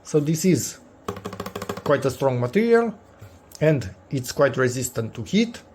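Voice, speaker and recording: A man's voice: mid-range voice, big pitch swings, unhurried, darkish tone, slight background noise. A man hosting a casual DIY vlog, thinking aloud and repeating himself for emphasis. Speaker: DIY video host. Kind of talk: casual unscripted workshop vlog commentary.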